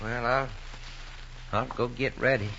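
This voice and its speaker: A man's voice: mid-range voice, steady tone, measured pace, natural voice. An actor playing a weak, good-hearted farmer father with a Southern American accent and a stammering, hesitant way of talking, in old-time radio drama style. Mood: dejected, apologetic. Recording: muffled, noisy old radio recording.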